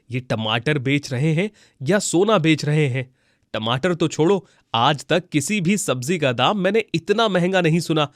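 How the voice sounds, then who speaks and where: A man acts as an expressive village husband who sells snacks, in a cartoon moral tale. His voice is slightly high and chatty, with a dry sound. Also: dry recording. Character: friendly village fritter seller, husband, easily dismayed.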